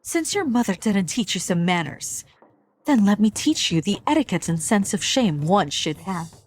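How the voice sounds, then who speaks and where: A woman's voice: mid-range voice, animated, moderate pace, natural voice. An actress playing an imperious mother-in-law in a dubbed period drama, haughty, commanding and scolding. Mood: stern scolding. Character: imperious noble mother-in-law, a scolding, sharp-tongued matriarch.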